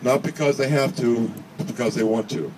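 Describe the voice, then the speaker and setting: A man reading a prepared speech at a charity event in an earnest, measured way, occasionally stumbling over words. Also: medium pitch, a little mellow. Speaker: speaker reading another person's speech. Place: charity event finish line, before a gathering. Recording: distant PA speech with background noise.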